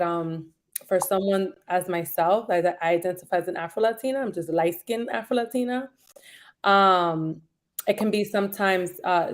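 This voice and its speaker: A woman from New York speaking as a panel guest, thoughtful and full of fillers like "um" and "like". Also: fairly low pitch. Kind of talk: casual discussion.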